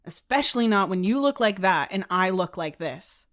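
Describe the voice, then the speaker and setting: A woman's voice: medium pitch, lively intonation, normal speed, a bit bright, natural voice. A woman voicing a self-conscious teenage boy in a story, grudging but playfully vain. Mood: indignant. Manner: reluctant, theatrical. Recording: music behind the voice.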